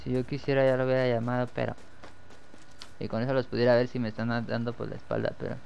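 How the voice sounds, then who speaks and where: Young Mexican gamer, gravelly voice, excitable commentary over faint gunfire. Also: male voice, medium pitch, fast talker, dull audio, slight background noise. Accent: Mexican Spanish. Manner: excitable, swears when killed. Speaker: gameplay commentator. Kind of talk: live gameplay commentary.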